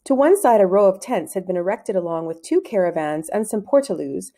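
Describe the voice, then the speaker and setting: Female storybook narrator, mellow tone, lively and expressive pitch movement. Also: fairly quick. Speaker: read-aloud narrator. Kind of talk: expressive storybook read-aloud.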